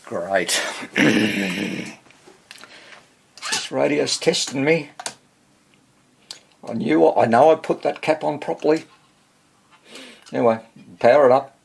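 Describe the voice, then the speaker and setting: A man's voice: mid-range voice, slow, vocal fry, pauses often. A man doing informal hands-on repair commentary as a vintage radio restorer, hesitant, self-deprecating and thinking aloud as he works. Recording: noisy workshop recording with clicks.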